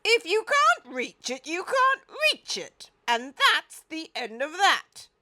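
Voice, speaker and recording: A woman voicing a blustering, bossy but kindly old Duke in a children's storybook, loud and imperious. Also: very high voice, sing-song, slow pace, voice pushed up, full character voice. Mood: bossy, exasperated.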